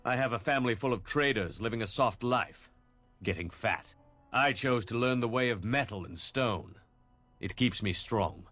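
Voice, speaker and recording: An actor playing a proud, stern, hardy weaponsmith in a fantasy video game. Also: male voice, medium pitch, normal speed, a bit bright, faint music behind, natural voice.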